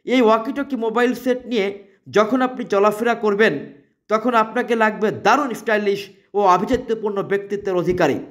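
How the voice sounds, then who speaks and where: A man with a Bangladeshi accent presenting a product in an emphatic promotional sales pitch, repeating his selling phrases. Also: fairly even intonation, fairly quick, a bit bright.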